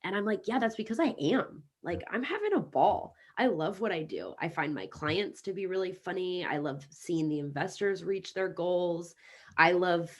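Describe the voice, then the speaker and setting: Low-voiced upbeat American woman. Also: expressive. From Kansas City, United States. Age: 30s. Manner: upbeat and talkative, with quick restarts. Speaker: guest. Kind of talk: casual interview chat.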